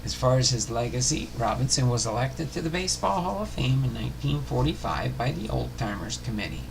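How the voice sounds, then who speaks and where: A man hosting a baseball history series, reading biography notes aloud in a halting way with small stumbles and self-corrections. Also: mid-range voice, sing-song. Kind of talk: scripted biography narration, read aloud. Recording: clearly noisy home recording.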